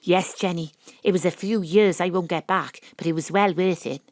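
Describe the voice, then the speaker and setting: A woman playing a cool, wry private detective in an audio drama, narrating and talking in a measured, theatrical way. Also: on the low side, a little mellow, no echo, clean studio sound, close to an everyday voice. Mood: fond, composed. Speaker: lead actor and narrator.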